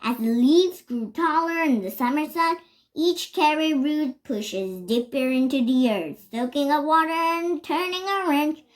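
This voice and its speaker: A child reading a children's picture book aloud, carefully and a little haltingly, stressing words as they go. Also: high voice. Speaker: reader.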